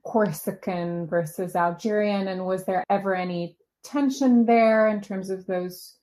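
A woman's voice: mid-range voice, fairly even intonation, measured pace, dark tone. A woman co-hosting a podcast, interviewing a guest in a friendly, encouraging way. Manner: friendly, encouraging, with short backchannel replies.

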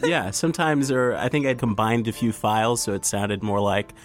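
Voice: mid-range voice, normal speed. A podcast co-host and editor joking and chatting casually, laughing often. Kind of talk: casual chat.

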